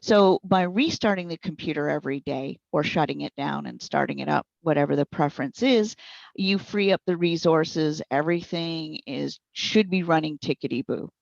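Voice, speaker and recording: Woman, tech support interviewee. Low voice, chatty folksy explainer, dry lo-fi audio. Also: normal speed, vocal fry, a little mellow, dry recording. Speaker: interviewed speech software trainer and support provider. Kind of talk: informal explanatory interview answers. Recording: dull, lo-fi audio.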